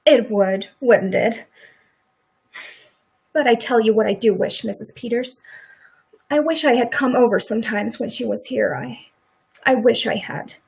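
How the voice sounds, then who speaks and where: A woman's voice: a little high, measured pace, vocal fry, long pauses, darkish tone, husky, full character voice. A woman playing a rueful, blunt farmer's wife with folksy rural speech in a live radio play. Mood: regretful. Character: farmer's wife Mrs. Hale, regretful, blunt, protective neighbour.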